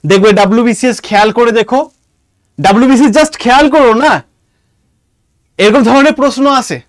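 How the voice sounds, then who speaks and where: Very high-pitched Bengali man teaching class. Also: fairly quick, dry recording, studio quality. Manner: repetitive, emphatic, dictating points. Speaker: exam-prep history teacher. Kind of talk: online class lecture, addressing students informally.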